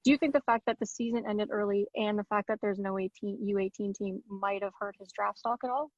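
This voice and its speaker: Fast-talking woman host on a dull line. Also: mid-range voice, fairly even intonation, no room sound. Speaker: podcast host. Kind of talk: casual podcast interview.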